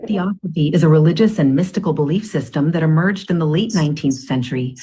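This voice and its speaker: A synthetic woman's voice of a voice assistant reading out an answer in an even, informational way. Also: low voice, quick pace, breathy edge.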